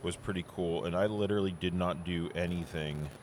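A man's voice: deep voice, a little fast, a little mellow, slight background noise. A man co-hosting a podcast in casual chat, easygoing and a little jokey, rambling with fillers. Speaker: podcast co-host.

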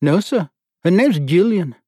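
A clipped, dutiful young lieutenant with an Australian accent, as a male narrator puts him on, pitched well above the reader's own voice. He answers crisply and respectfully, every reply closed with "sir". It sounds close and dry, like a spoken audiobook. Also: expressive, a little slow, mellow, no echo.

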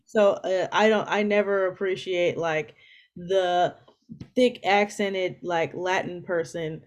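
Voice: mid-range voice, steady tone, slow. A guest in a casual film discussion who speaks bluntly and frankly, with dry remarks. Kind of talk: casual conversation.

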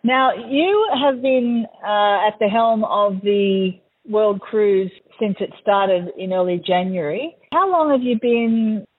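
A woman hosting a travel radio show, asking an interviewee questions in a friendly, measured way. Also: medium pitch, slightly rough, phone-quality sound.

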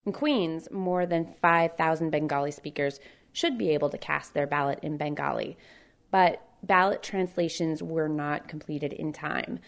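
Low-voiced woman radio reporter, measured narration. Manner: clear measured narration, friendly questions. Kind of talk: news report with field interviews.

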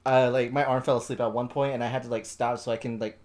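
A young man co-hosting a casual podcast, joking and giggling between deadpan asides. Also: medium pitch, quick pace. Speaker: podcast co-host.

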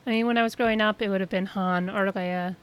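A woman's voice: mid-range voice, steady tone, fast talker, clean tone. A woman co-hosting a podcast, chatting casually and gushing eagerly. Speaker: podcast co-host.

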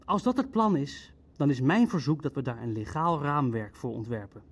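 An actor playing an arrogant, legalistic Nazi official in a radio play, arguing formally and insistently. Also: male voice, medium pitch, animated, normal speed, husky. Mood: indignant.

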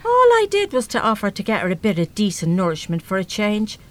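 A woman's voice: medium pitch, wildly swooping pitch, fairly quick. A middle-aged Irish mother in a radio play. She is brash and salty, with blunt, colloquial working-class speech and a big heart underneath. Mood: defensive. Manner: blunt, colloquial, mildly sweary. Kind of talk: live radio play acting.

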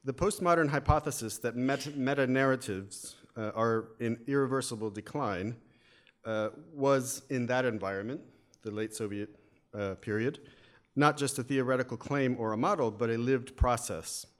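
A man's voice: mid-range voice, normal speed. An American man with a wry, methodical manner, giving an academic talk with frequent fillers. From United States. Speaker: academic speaker. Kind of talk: lecture presentation.

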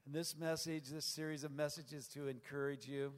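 An older man preaching a sermon in short phrases, pausing for an interpreter, with a playful touch. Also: on the high side, level tone. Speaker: guest preacher. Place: church hall. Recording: long church reverb.

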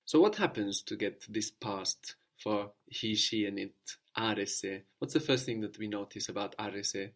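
A man's voice: fairly low pitch, measured pace, few pauses. A man teaching a language lesson, explaining patiently and prompting his student with questions.

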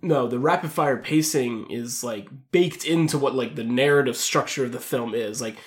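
A man's voice: mid-range voice, moderate pace. Informal man chatting as podcast co-host. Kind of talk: casual film discussion chat.

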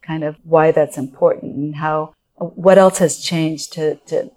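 A woman's voice: deep voice, steady tone, measured pace, a little mellow. A middle-aged woman from Japan, a guest expert on organizational culture, explaining her ideas in a thinking-aloud interview manner with restarts. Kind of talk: conversational interview answers.

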